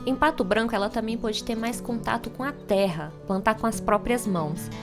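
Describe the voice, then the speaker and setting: Woman host reading a calm narration bit, guitar playing clearly underneath. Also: a little high, normal speed. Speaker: podcast host. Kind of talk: scripted narration. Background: guitar music.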